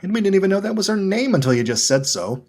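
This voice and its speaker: Guy doing a mouthy young girl, huffy and blunt, higher voice. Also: big pitch swings, normal speed, darkish tone, breathy edge, exaggerated voice. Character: feisty young schoolgirl, quick-tempered.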